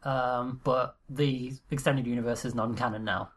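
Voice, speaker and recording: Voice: medium pitch, fairly even intonation, moderate pace, slightly rough. A podcast co-host in casual chat who swears freely, laughs mid-sentence and often trails off and restarts.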